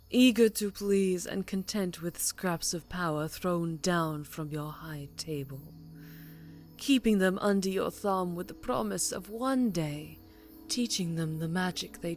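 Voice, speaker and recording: A woman acts out a cruel, seductive vampire countess in a fantasy audio drama. Her voice is on the low side and very slow, intimate and taunting, slipping often into whispers, with music behind her. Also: darkish tone. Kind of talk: scripted fantasy ASMR roleplay acting. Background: background music.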